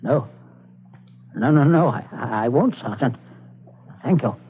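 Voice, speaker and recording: A man's voice: mid-range voice, animated, slow, breathy edge, heavily acted. An actor playing a middle-aged, domineering and falsely genial father in a polite, theatrical 1940s radio suspense style. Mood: nervously polite. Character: domineering, suavely genial, scheming English father. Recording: scratchy, narrow-band 1940s radio recording.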